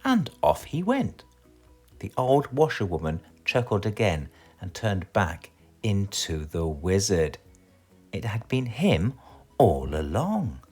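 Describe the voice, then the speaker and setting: Low-voiced British man, hugely animated storyteller. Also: slow, husky, faint music behind. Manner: theatrical, expressive delivery. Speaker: storyteller reading aloud. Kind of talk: animated children's storybook narration.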